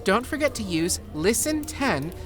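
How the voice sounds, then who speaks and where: A woman's voice: mid-range voice, very animated, measured pace. A woman playing an upbeat, helpful fantasy shopper in a scripted comic podcast ad, enthusiastic and explanatory. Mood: promotional. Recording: music behind the voice. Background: music.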